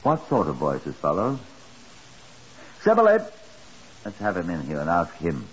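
An actor playing a shrewd, courteous chief inspector in a 1950s radio crime drama, husky and measured as he questions and narrates. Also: low-pitched, huge pitch swings, exaggerated voice. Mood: probing. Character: shrewd, courteous Scotland Yard chief inspector telling his case. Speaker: police detective and narrator. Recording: muffled 1950s radio broadcast.

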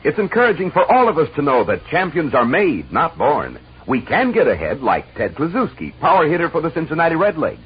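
A man delivering a folksy, emphatic sales pitch as a 1950s radio commercial announcer. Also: huge pitch swings, fairly quick, hardly any pauses, soft background music. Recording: muffled 1950s radio recording.

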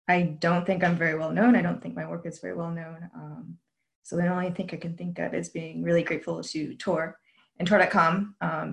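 A woman's voice: fairly low pitch, gravelly. A woman in her thirties, an illustrator speaking modestly and friendly with fillers on a call. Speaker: illustrator guest. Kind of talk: panel discussion on a call.

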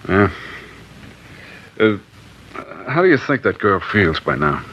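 A man's voice: on the low side, very animated, slow. A man playing a hesitant, grumbling henchman in a 1950s radio drama. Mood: uneasy. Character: low-voiced male henchman, uneasy. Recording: muffled old radio broadcast with hiss.

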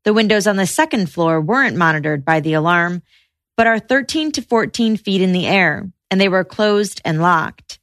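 A woman hosting a podcast, narrating a true-crime case in steady scripted storytelling. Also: mid-range voice, normal speed, no echo, clean studio sound.

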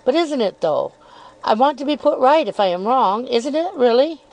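A woman's voice: sits high, big pitch swings, moderate pace, darkish tone, pitched above the performer's own voice, poor audio. A woman reading an audiobook, voicing a sly young woman who hints and needles with arch, probing questions. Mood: insinuating.

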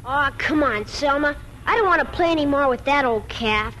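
A woman's voice: sits high, lively intonation, normal speed, over music, rough recording. A female performer playing an eager, bossy little farm child in 1940s radio style. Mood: eager, insistent. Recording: 1940s radio with faint noise.

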